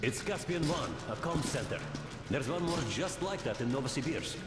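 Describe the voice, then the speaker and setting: A man's voice: medium pitch, expressive, moderate pace, roomy sound, long reverb tail, natural voice. An actor playing an eager young tech guy. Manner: matter-of-fact, explaining technical details. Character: eager young male technician, quick and practical. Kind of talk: video game cutscene acting. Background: loud game score music.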